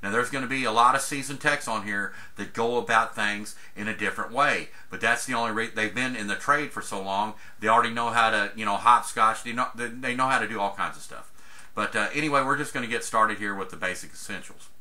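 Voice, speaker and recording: A man's voice: lowish voice, a little fast, bright, forceful tone. A man, teaching an HVAC troubleshooting chart in a folksy, chatty way over a screen recording. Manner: folksy, chatty, says "guys" often. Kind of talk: casual screen-share tutorial.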